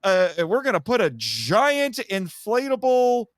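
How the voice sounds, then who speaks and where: Man, high voice, dry close sound, animated film recap, casual, dramatic storyteller voicing characters' lines. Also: a little slow, no room sound. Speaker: podcast guest reviewing a film.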